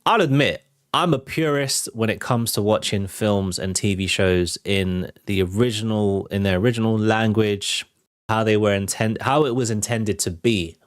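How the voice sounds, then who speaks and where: A man's voice: fairly low pitch, moderate pace, dry recording, clean studio sound. A man hosting a film and TV news show, chatting to viewers in an informal, enthusiastic, conversational way. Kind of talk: casual entertainment news commentary to viewers.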